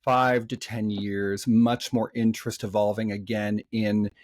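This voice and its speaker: A man's voice: lowish voice, level tone, unhurried, darkish tone, dry recording. A man who coaches singers, speaking as a podcast guest in a thoughtful, conversational way, with frequent fillers and restarts.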